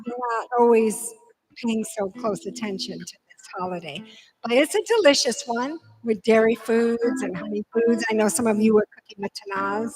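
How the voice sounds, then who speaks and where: A woman with a high voice welcomes a group and leads a holiday service. She talks in an upbeat, chatty way, full of fillers and restarts. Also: low-quality recording. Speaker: service leader. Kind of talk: leading a holiday service, casual.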